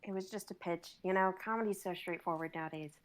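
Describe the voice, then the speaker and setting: A young woman in a comedy sketch table read, as a writer pitching ideas. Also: quick pace. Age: young adult.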